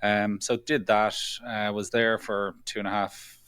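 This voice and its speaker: A man's voice: on the low side, level tone, unhurried, a bit bright, smooth, no room sound. A man speaking as a guest in an interview, chatty and self-deprecating, often repeating his phrases. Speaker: guest, product executive. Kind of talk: conversational interview answers.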